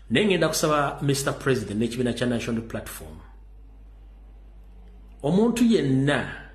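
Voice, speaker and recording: A man's voice: on the low side, roomy sound. A Ugandan man giving urgent political commentary, warning listeners and mixing English into his talk.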